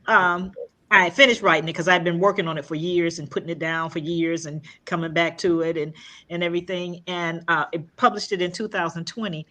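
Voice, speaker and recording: A woman's voice: on the low side, steady tone, sharp tone. A woman in her sixties, a guest on a podcast, chatting casually from home over a video call with lots of fillers and restarts. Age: 60s. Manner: halting, many fillers and restarts. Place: home room.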